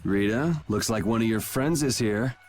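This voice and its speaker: A man's voice: lowish voice, moderate pace, a little mellow, soft background music, close to an everyday voice. A man playing a wry young ex-knight in a video game, laid-back, dry and teasing in delivery. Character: cool, wry young male ex-knight swordsman. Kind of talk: English video game voice acting.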